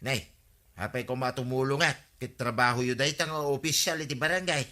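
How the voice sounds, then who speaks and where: Actor as a whiny, sharp-voiced Ilocano village husband. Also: male voice, medium pitch, fairly even intonation, moderate pace, close to an everyday voice. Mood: griping banter. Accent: Filipino, Ilocano. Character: grumbling henpecked village husband, farmer-vendor who likes a drink. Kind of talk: comedic radio drama acting.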